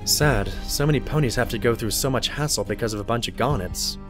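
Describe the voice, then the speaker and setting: A man's voice: medium pitch, brisk, soft background music, lightly acted. A man playing a polite but skeptical pony doctor, a detective's sidekick, in an audio drama. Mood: sober.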